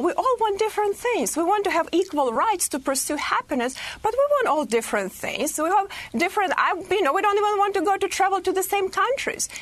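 A woman with a Ukrainian accent, a politician speaking earnestly and emphatically. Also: high-pitched. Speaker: politician in played clip. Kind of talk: speech.

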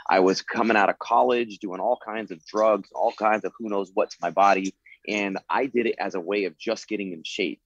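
A man's voice: fairly low pitch, steady tone, moderate pace, dry recording, lo-fi sound. A man in his late thirties, a former fighter turned coach, answering interview questions in an earnest way, leaning on "you know" as he talks. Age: 30s. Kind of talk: interview answers, reflective storytelling.

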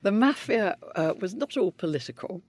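An older man, answering interview questions in a measured, gentle and reflective way. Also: high voice, expressive, no echo. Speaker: interviewee, widower of a politician.

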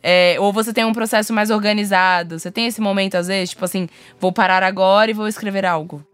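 A woman's voice: medium pitch, steady tone, fast talker, smooth, dry recording. A woman with a Brazilian accent hosting a casual interview, asking questions in an informal, slangy way. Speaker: podcast host, interviewer.

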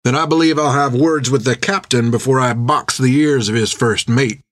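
A man's voice: mid-range voice, normal speed, dry recording, studio quality, close to an everyday voice. Man playing a grim, defiant young sailor.

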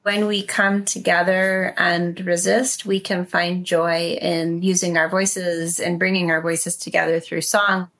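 A woman, an activist and healer, reflecting in an interview in an earnest, measured way. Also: lowish voice, a bit bright.

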